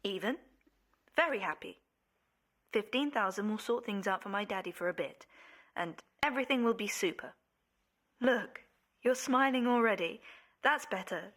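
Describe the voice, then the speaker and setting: A woman's voice: mid-range voice, animated, moderate pace, pauses often, a bit bright, close to an everyday voice. A woman acting a devoted young adult daughter in a radio play, eager and placating in manner. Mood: eager, reassuring. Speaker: actor.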